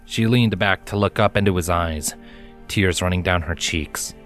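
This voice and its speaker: Narrator: man, deep register, solemn measured storytelling, faint music underneath. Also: a bit bright. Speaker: fan fiction reader, narrator. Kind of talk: dramatic scripted narration. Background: background music.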